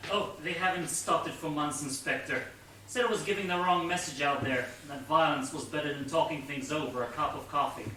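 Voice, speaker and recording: A man playing an earnest, know-it-all junior detective sidekick in a comic stage play, explaining things deliberately. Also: on the high side, bright, forceful tone. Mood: matter-of-fact. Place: theatre stage, picked up from a distance. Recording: distant echoey theatre stage recording, faint noise.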